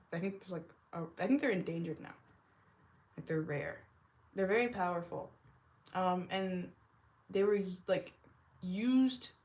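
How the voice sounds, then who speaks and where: A young woman with a deep smoker's voice, giving a casual tarot reading and rambling with lots of "like". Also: a little mellow. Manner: rambling, heavy use of "like", laughs often. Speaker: tarot reader.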